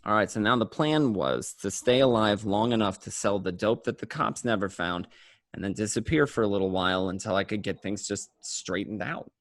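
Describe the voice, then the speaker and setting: A man's voice: on the low side, moderate pace, no room sound, studio quality, lightly acted. A man playing a desperate, paranoid small-time gangster, stammering and swearing, in an amateur script read. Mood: reflective narrating. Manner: stammering, repeating, profane.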